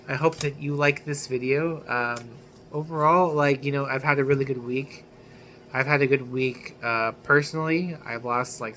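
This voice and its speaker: A man talks to his viewers as a vlog host, in a bright, forward voice. His delivery is casual and conversational, sprinkled with slang. Faint background noise sits behind his words. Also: mid-range voice, creaky. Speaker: YouTube channel host.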